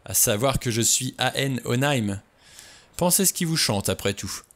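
A man's voice: mid-range voice, expressive, moderate pace, a bit bright. A man reading a cool, mocking self-assured man. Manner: dry, mocking. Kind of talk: audiobook reading.

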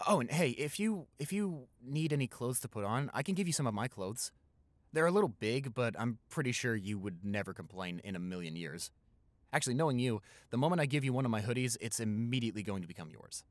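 A man's voice: lowish voice, dry recording, lightly acted. A young man playing a tender, playful, smitten new boyfriend in an intimate roleplay, talking in a conversational, hesitant, affectionate way. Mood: tender, soft. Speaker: roleplay audio performer.

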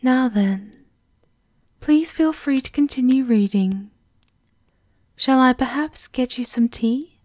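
Woman acting as a very slow, sinister castle maidservant. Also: high-pitched, dry recording, studio quality. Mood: courteous, needling. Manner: softly sinister, politely formal, deliberate. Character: devoted, menacing female servant of a vampire countess. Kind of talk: ASMR roleplay acting, breathy half-whisper.

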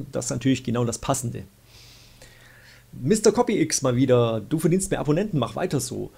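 A man's voice: mid-range voice, vocal fry, warm tone, breathy edge. A young man hosting a weekly game show, casually answering viewer questions and thinking aloud with plenty of fillers. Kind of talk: casual chat. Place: small room indoors, at a desk.

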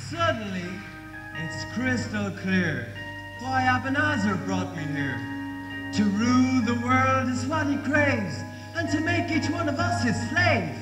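A man's voice: very high voice, very animated, a little slow, exaggerated voice. A young man playing a lovestruck, naive young hero in an amateur stage pantomime. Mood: resolute. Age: young adult. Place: large theatre stage. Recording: echoey theatre stage tape, loud music.

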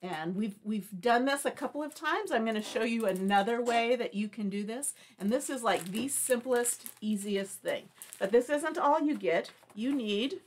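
A woman's voice: on the high side, animated, moderate pace, hardly any pauses. A middle-aged woman hosting a live craft demo from her home craft room, chatty and rambling, sniffling with allergies. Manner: chatty, friendly, rambling with restarts. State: stuffy nose, sniffling from allergies. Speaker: craft show host.

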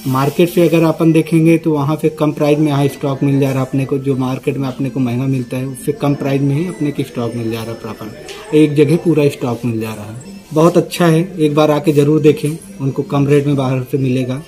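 A man's voice: mid-range voice, steady tone, brisk, warm tone. A middle-aged man shopper giving a plain, matter-of-fact answer to a reporter inside a store, into a handheld mic. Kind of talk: vox pop interview. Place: inside a large retail store.

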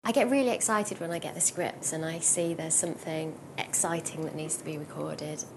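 British woman, lower-pitched, over noisy rumble. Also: steady tone, brisk, gravelly. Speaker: sound engineer and sound designer. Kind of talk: informal interview about own job.

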